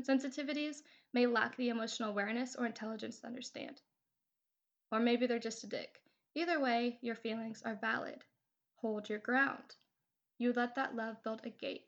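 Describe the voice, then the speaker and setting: A woman's voice: on the high side, gravelly, highs cut off. Woman with a cold, encouraging host. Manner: earnest, encouraging, occasional casual swearing. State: has a cold. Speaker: podcast host. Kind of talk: affirmation podcast monologue.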